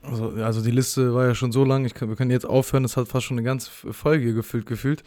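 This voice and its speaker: A man's voice: medium pitch, fairly even intonation, fast, darkish tone. A man hosting a casual interview podcast, asking rambling, hesitant questions with many fillers. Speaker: podcast host.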